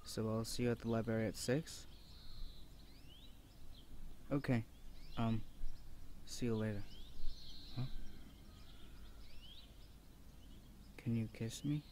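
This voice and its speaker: A shy, bookish young university student, acted by a man in an audio roleplay. He is awkward and earnest, hesitating and stammering in a medium-pitched voice. Faint outdoor ambience with birdsong sits behind him. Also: fairly even intonation, measured pace, long pauses, darkish tone, a little hiss or hum, lightly acted. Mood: bashful, flustered. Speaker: voice actor. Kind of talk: ASMR boyfriend audio roleplay.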